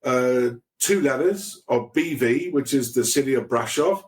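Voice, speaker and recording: A man's voice: mid-range voice, lively intonation, measured pace, darkish tone. A man guesting on a podcast over a video call, chatting casually in a rambling way with many restarts. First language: English. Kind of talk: casual chat. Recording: echoey room.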